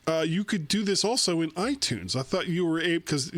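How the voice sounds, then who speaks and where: A man's voice: a little high, expressive, brisk, fairly bright tone. An older man hosting a casual tech podcast on a video call, rambling and chatty, laughing easily, from a home room into a studio microphone. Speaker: podcast host. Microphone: studio microphone on a boom.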